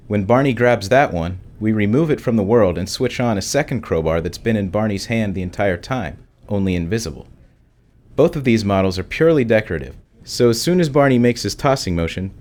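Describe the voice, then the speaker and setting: A man's voice: fairly low pitch, a little mellow. A man giving scripted commentary as a game developer.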